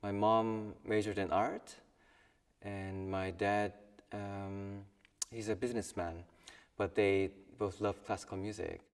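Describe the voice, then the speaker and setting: A young man in his twenties from South Korea, a violin student, speaking thoughtfully with pauses in an interview. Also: fairly low pitch, little pitch movement, clean tone, big-room sound, far from the mic. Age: 20s. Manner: thoughtful, soft-spoken with pauses and small laughs. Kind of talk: personal documentary interview.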